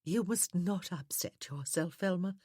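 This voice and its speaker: A woman's voice: on the low side, a little slow, mellow, husky, no echo, studio quality, voice pushed up. A woman voicing an amiable, comfortable older mother, a genteel titled lady in a period romance audiobook. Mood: soothing concern. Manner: genteel, polite.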